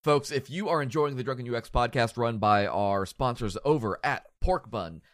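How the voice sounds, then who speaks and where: A man's voice: medium pitch, normal speed, no room sound, clean studio sound. A man hosting a casual podcast, stuffy and nasal from a cold, rambling and joking at his own expense. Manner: rambling, self-deprecating, joking. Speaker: podcast host.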